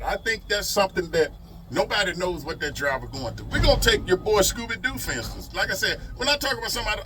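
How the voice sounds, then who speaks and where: A man's voice: fairly high pitch, sharp tone, far from the mic, a little hiss or hum. A middle-aged man talks casually to his vlog audience in a folksy, emphatic way, repeating phrases for effect. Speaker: truck driver vlogger.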